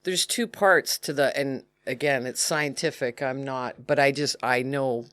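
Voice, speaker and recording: A woman's voice: very deep voice, unhurried. A middle-aged woman, a podcast guest chatting frankly and easily with plenty of fillers. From Canada. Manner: frank, relaxed storytelling with many fillers. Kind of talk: casual podcast chat.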